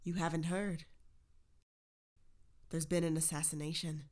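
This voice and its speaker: A woman plays a castle guard in a fantasy audio drama. Her voice is on the low side, brusque and official, made for giving orders. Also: flat delivery, measured pace, darkish tone. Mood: grave.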